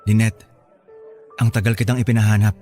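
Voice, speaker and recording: A man's voice: lowish voice, flat delivery, fairly quick, dark tone, natural voice. A Filipino man playing a remorseful middle-aged father in a radio drama, earnestly pleading with his estranged child. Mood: gentle pleading. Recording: music bed behind the voice.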